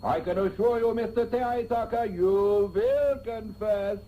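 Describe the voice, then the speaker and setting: Slow, menacing interrogator. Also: male voice, sits high. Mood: smug, threatening. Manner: theatrical, drawn-out, coaxing threats. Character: menacing, suave male interrogator with mock-polite patience. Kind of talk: satirical radio soap opera acting. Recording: muffled, noisy old radio tape.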